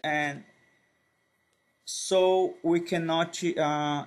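A man whose first language is Portuguese narrates a software tutorial over his screen. He speaks slowly, in a slightly high voice, filling pauses and restarting sentences as he works through each step. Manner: slow, halting, with frequent fillers and restarts. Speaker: tutorial presenter.

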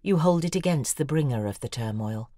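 An obsessive, intense woman in love confesses in an intimate audio drama monologue. Her voice is deep and husky, with very lively swings of pitch. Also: fairly quick, no room sound, studio quality. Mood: anguished, intense.

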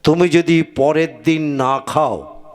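A man's voice: on the high side, takes it slowly. A man voicing an exasperated quack dentist in a comic puppet show, exclaiming incredulously. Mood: baffled, scolding. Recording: loud music behind the voice.

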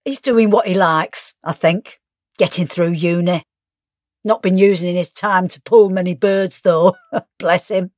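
A woman plays a guarded working-class mother in an audiobook drama. Her voice is on the low side and slow, with a weary, dry, colloquial delivery. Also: steady tone, no echo, close to an everyday voice. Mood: fond, wry. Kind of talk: full-cast audiobook character acting.

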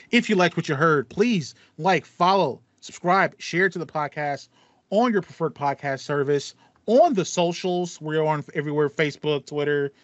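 A man in his thirties with a slightly high voice talks casually as a podcast host. He likes to act out thoughts as he goes. The sound is dry and a little muffled, as if on a headset in a small room. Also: no room sound. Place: small room at home with acoustic foam, indoors. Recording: slightly dull, band-limited audio.

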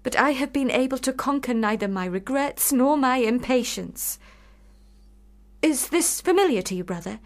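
Bitter, sharp-witted sister, higher-pitched, formal, ironic, deliberate delivery, lovelorn, period radio drama. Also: female voice, lively intonation.

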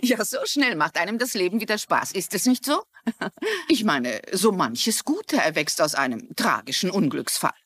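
An actress playing a kindly, shrewd middle-aged crime writer in a German-dubbed mystery drama, speaking politely and inquisitively. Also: female voice, mid-range voice, very animated, moderate pace, fairly bright tone, breathy edge. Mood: polite, probing.

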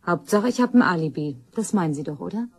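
An actress playing a chatty young office worker in a German crime radio play, talking candidly and colloquially. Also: female voice, on the low side, animated, fast, loud music behind the voice. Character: chatty, slangy young office woman questioned by police.

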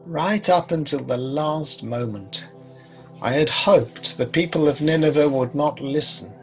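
Bitter old British prophet played by a man, music behind. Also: on the high side. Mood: disappointed, rueful. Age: 60s. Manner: measured, deliberate, rueful. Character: bitter, weary old prophet recalling his past. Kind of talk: dramatic monologue. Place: home study, video recording.

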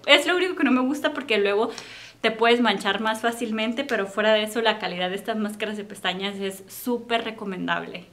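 A young woman with a Mexican accent, chatting casually to camera about makeup she bought, recorded at home in a bright room. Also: fairly high pitch. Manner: chatty and upbeat, friendly direct address. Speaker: beauty vlogger.

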